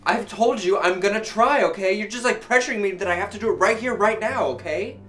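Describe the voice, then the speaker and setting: High-pitched young actor as a defensive son. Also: male voice, a little fast, a bit bright, soft background music. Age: young adult. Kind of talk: earnest low-budget skit acting.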